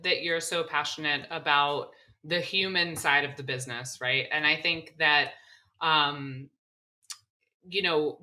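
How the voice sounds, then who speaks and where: A woman's voice: deep voice, sharp tone. A woman hosting a podcast interview, friendly and chatty, with many fillers. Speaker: podcast host.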